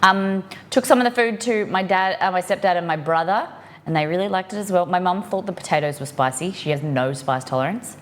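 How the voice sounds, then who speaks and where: A woman hosts a casual cooking livestream in a somewhat low-pitched voice with a bit of a croak. She talks informally and expressively, wandering into asides as she addresses her viewers. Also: fairly quick. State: croaky, dehydrated voice. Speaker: livestream host of a cooking show.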